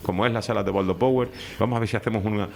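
A man's voice: fairly low pitch, steady tone, racing, vocal fry. A middle-aged man hosting a radio show, chatty and teasing with his guests. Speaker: radio host. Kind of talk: casual radio interview.

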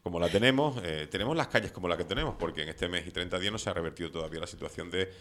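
Middle-aged guy hosting an interview, really low voice, talks fast. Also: fairly bright tone. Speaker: host interviewer. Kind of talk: conversational interview with long opinionated questions.

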